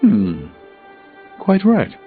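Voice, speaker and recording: An erudite middle-aged sorcerer superhero for a video game. His delivery is formal, dry and a touch pompous, with animated pitch movement. The sound is thin and band-limited, with music clearly behind it. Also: drawn-out words, narrow, tinny audio, exaggerated voice. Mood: measured, dry.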